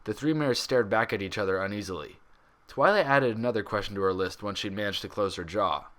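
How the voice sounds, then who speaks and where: A man reading a story aloud as an expressive narrator. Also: on the low side, a little fast. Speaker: fan fiction reader.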